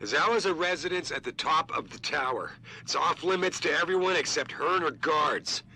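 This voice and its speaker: Urgent corporate boss, game acting, highish piercing voice, commanding and forceful. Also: male voice, moderate pace, breathy edge, exaggerated voice, poor audio. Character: urgent, bossy corporate chief giving orders.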